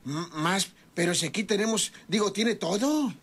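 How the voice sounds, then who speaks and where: A man's voice: high-pitched, lively intonation, normal speed, fairly bright tone, husky, full character voice. An actor playing a bumbling, stammering, wisecracking ranch henchman in a radio serial, with a Mexican accent. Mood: puzzled. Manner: stammering, hesitant, comic. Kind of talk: old radio serial acting.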